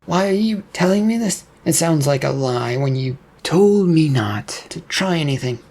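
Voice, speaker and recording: Possessed man who stutters in fear, higher nervous voice, read by a man. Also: lively intonation, normal speed, a little mellow. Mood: scared. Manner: stammering, nervous. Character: frightened stammering man, possessed.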